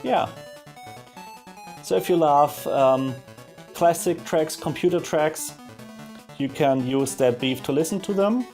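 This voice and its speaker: Man casually demoing software, music behind. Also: on the high side. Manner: halting, thinking aloud as he goes. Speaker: software demonstrator. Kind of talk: casual screen demo narration. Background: music from audio players being demonstrated.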